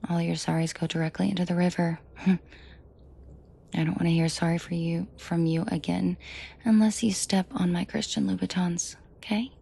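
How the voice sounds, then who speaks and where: A woman playing a commanding, protective mafia boss in an intimate roleplay audio drama, shifting between cold authority and soft coaxing. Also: lowish voice, little pitch movement, normal speed, gravelly, slightly rough. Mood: tender, protective. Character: commanding female mafia boss, furious yet protective and tender.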